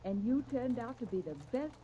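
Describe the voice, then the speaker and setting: A woman's voice: a little high, animated, brisk, mellow, close to an everyday voice. An actress playing a flirtatious, affectionate woman neighbour, coy and cajoling, in 1930s radio serial style. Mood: playful, coaxing. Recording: dull, noisy old radio recording.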